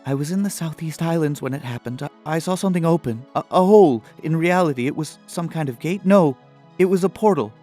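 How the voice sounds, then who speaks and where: Breathless young princess, voiced by a man, raised pitch. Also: brisk, warm tone, faint music behind, natural voice. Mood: frightened, urgent. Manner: breathless, urgent. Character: frightened young rebellious princess. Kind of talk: fantasy audio drama acting.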